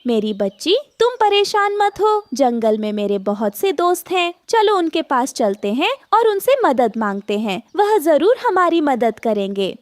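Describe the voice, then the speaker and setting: A woman voicing a gentle, worried mother sparrow in a cartoon story. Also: sits high, very animated, a little slow, hardly any pauses, no room sound. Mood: comforting.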